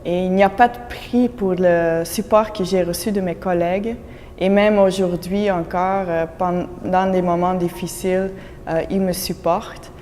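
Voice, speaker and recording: A woman's voice: on the low side, fairly even intonation, unhurried, mellow. A woman from Belgium whose first language is Dutch, speaking French in an interview, earnest and reflective with frequent fillers. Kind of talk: on-camera interview. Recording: echoey room with faint background noise.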